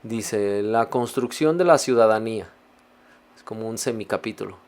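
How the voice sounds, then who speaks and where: A man hosting a reaction video, reading passages aloud and commenting on them in a measured, reflective way. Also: lowish voice, a little mellow.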